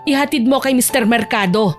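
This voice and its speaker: A woman's voice: fairly high pitch, a little fast, natural voice. Woman as a bossy middle-aged female team head. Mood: stern, ordering. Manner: curt, commanding. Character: bossy, grumpy 45-year-old female office team head. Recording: music bed behind the voice.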